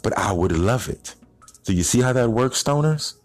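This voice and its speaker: A man's voice: medium pitch, expressive, normal speed, no room sound, faint music behind. A man in his thirties hosting an informal motivational podcast, speaking straight to listeners in slangy language with rhetorical questions. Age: 30s. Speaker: podcast host.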